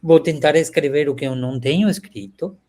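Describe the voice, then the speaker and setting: A man in his sixties from Venezuela, speaking Portuguese with a Venezuelan Spanish accent, reflecting slowly as an interview guest over a video call with many pauses and the filler "este". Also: on the high side. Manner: warm, halting, frequent filler "este".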